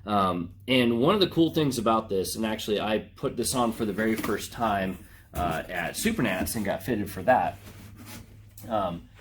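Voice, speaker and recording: Low-pitched man, casual product walkthrough. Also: slight background noise. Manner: informal, slangy, addressing viewers as guys. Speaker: racing driver presenting a product unboxing.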